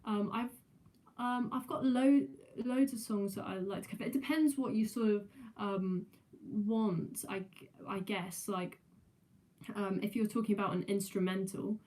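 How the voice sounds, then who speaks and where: A woman's voice: medium pitch, fairly even intonation, measured pace, a little mellow. Young woman, kinda english kinda kiwi accent, lots of ums, casual stream chat, no real mic. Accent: mixed southern English and New Zealand. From England, raised in New Zealand. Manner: hesitant, many ums and restarts. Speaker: musician streamer. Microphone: no proper microphone.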